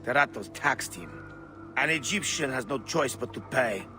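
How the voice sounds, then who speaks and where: An actor playing a bitter rebel farmer's son bent on revenge in a video game, telling things grimly. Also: male voice, mid-range voice, expressive, normal speed, over music, natural voice. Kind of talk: video game dramatic acting.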